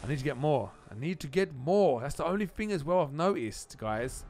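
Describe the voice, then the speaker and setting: A man giving casual, excitable gameplay commentary as a video host, often repeating phrases for emphasis. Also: fairly high pitch, fairly quick.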